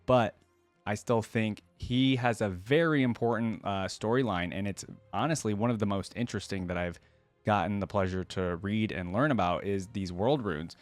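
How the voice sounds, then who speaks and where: A man's voice: on the low side, normal speed, dry recording, soft background music. A man giving casual commentary to viewers as a reaction host, thinking aloud and wandering off on digressions. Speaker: video creator, reaction host.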